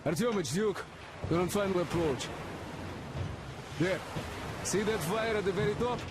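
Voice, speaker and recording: An actor playing a rough, impatient boat skipper who curses and plans the approach. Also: male voice, sits high, unhurried. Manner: brusque, impatient, curses under his breath. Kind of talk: video game cutscene acting. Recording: game mix with engine noise.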